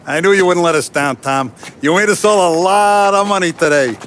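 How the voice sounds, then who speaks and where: A man's voice: high voice, moderate pace, a bit bright, a little hiss or hum. An actor playing a fatherly, shrewd older mafia boss in a cinematic crime game. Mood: pleased, paternal. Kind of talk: naturalistic cinematic game acting.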